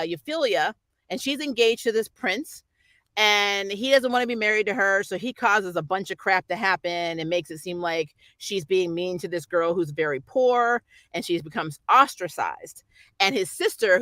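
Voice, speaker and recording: Bright-voiced woman chatting casually, close and dry. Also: mid-range voice, no room sound. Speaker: host of a pop culture review show. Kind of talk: casual chat, reviewing shows.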